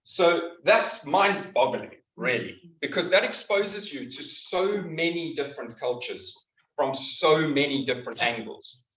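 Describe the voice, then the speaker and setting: South African man presenting to a live audience, slightly high voice, distant mic in a roomy hall. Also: animated, unhurried, fairly bright tone. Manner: chatty, earnest, with frequent ums and restarts. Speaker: health practitioner giving a presentation. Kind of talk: community talk to a live audience. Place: community hall. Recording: echoey hall, speaker far from the mic.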